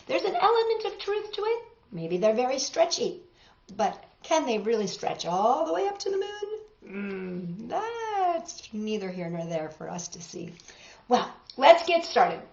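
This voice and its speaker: A woman hosting storytime for young children, talking and reading aloud in an expressive, unhurried way, addressing them directly. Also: medium pitch. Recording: dull, roomy sound with faint music.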